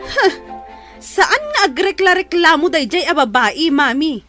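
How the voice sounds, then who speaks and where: A woman's voice: sits high, expressive, unhurried, sharp tone, dry recording, faint music behind, full character voice. A woman playing a spiteful, whiny young daughter who complains petulantly, in an Ilocano-accented radio drama.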